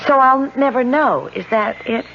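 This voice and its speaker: An actress playing a fragile, hopeful younger sister in a 1970s radio drama, earnest and pleading. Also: female voice, high voice, animated, moderate pace. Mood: wistful, pleading. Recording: muffled, faintly noisy old radio broadcast.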